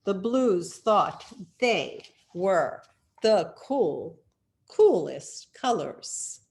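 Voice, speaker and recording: A woman reading a children's picture book aloud in an expressive, deliberate storytelling manner with dramatic pauses. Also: on the low side, creaky. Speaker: storyteller, rabbi.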